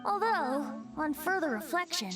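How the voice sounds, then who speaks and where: Playful boyish bard, high voice, articulate and musing, anime game role, music behind. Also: female voice, lively intonation, a little slow. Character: youthful male bard spirit, playful, sly and musing. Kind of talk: anime video game voice acting.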